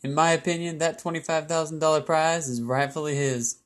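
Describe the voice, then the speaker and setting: A young man playing 1920s radio news host, fairly high voice, upbeat, showy phrasing, period slang. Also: level tone, brisk, close to an everyday voice. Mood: admiring. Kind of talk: scripted 1920s radio news show acting.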